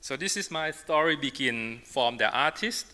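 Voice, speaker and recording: A man with a Thai accent presents his work as an artist and designer to a symposium. His voice is very bright and forceful, with halting, emphatic phrasing. It rings with the long reverb of a large hall. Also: medium pitch, expressive. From Thailand. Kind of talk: public presentation in non-native English. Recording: reverberant hall, amplified talk.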